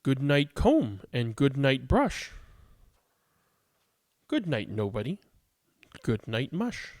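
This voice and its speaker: A man with a gravelly voice, hosting and reading bedtime picture books aloud for young children. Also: mid-range voice, very animated, slow pace, a little mellow, no echo. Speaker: host of a children's read-aloud show. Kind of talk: casual chat and bedtime picture book read-aloud.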